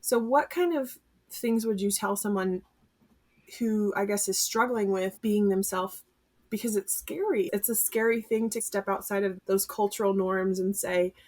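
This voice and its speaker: A woman who teaches music, hosting a podcast in a friendly, conversational way. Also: medium pitch. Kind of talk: podcast interview.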